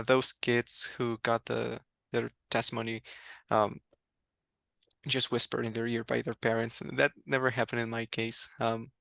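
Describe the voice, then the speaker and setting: A man from Mexico, a native Spanish speaker with a Mexican accent, answering interview questions in a soft-spoken, reserved way. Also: medium pitch, vocal fry, clear, resonant tone, no echo. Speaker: guest, YouTuber.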